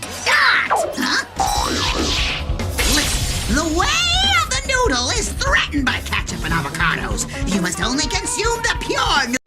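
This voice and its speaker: Bossy anime villain, high shrill voice all over the place, super dramatic, loud music. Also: huge pitch swings, a little slow, long pauses, heavily acted. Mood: commanding, alarmed. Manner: dramatic, commanding. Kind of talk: dubbed anime cartoon acting.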